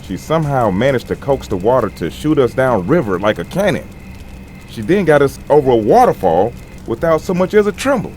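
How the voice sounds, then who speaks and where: Actor as young male fantasy sidekick, folksy, amazed, very expressive, audio drama with background noise. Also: medium pitch, fairly quick, close to an everyday voice. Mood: impressed. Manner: colloquial, exclamatory.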